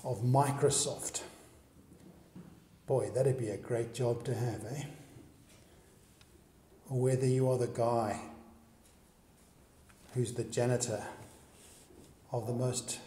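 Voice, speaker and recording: A man's voice: medium pitch, dark tone, a little hiss or hum. A man preaching a sermon, pressing the listener with one earnest question after another. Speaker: pastor. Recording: echoey hall recording.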